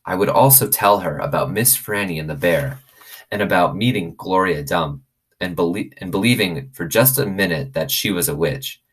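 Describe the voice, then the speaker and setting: A man reading a children's novel aloud as an expressive storyteller. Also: sits low, moderate pace, husky, rough recording.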